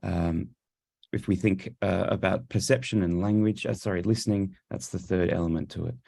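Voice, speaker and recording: A man's voice: sits low, fairly even intonation, moderate pace, gravelly, mellow, dry recording. A man with an Australian accent, a professor giving a virtual conference talk with many fillers and restarts, speaking through Bluetooth headphones. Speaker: speech neuroscience professor presenting.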